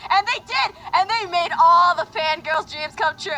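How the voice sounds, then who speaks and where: A woman's voice: extremely high pitch, normal speed, pushed and bright, rough recording. A woman telling a story in a playful, mock-narrating style.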